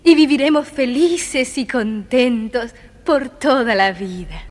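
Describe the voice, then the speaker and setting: Slow, high-voiced gentle princess. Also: female voice. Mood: grateful, happy. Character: gentle, grateful young fairy-tale princess. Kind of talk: children's audio storybook acting. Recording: reverberant, faintly noisy storybook record.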